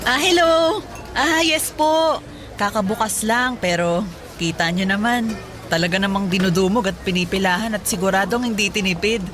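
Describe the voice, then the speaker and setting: A woman's voice: medium pitch, normal speed, over music, natural voice. An actress playing a young, perky, proud female eatery owner in a Tagalog radio drama. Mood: cheerful, boastful.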